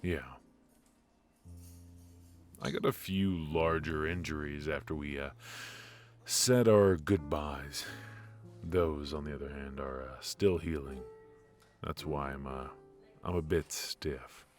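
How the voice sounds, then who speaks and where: A man playing a cocky but affectionate secret agent in an intimate noir audio roleplay, with halting, teasing delivery. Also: a bass voice, soft background music. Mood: weary, teasing. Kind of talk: intimate ASMR roleplay voice acting.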